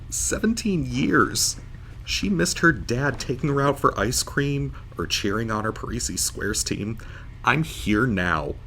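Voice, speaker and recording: A man's voice: on the low side, fairly bright tone, slight background noise, full character voice, rough recording. A man playing a bitter, wry starship officer woken from a long coma, in a science fiction audio drama. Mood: pleading, tender. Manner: sarcastic, wry, emotional.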